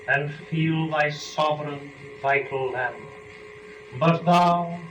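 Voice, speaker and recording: A man giving a dramatic recitation of classical epic verse in a solemn, measured, declamatory way. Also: fairly high pitch. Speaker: dramatic reader of epic poetry. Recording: roomy, distant, faintly noisy recording.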